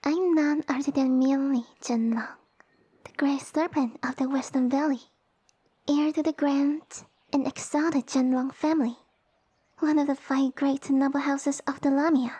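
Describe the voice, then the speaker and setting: A woman voicing a small, haughty young snake-girl noble in an ASMR roleplay, speaking softly with pompous, formal condescension. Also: sits high, steady tone, moderate pace, no room sound, natural voice. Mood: proud, condescending. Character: small haughty young lamia noblewoman, sassy and easily offended. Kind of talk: ASMR audio roleplay acting. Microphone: close dry microphone.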